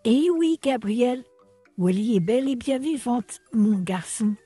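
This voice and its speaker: A woman playing a warm, encouraging adult woman in a French comic dub. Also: fairly high pitch, animated, unhurried, husky, faint music behind. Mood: reassuring.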